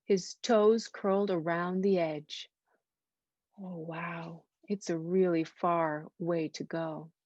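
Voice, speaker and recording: A woman reading a storybook aloud to young children, expressive and simply phrased, stopping to ask them questions. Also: fairly low pitch, takes it slowly, no echo. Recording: dull, lo-fi sound.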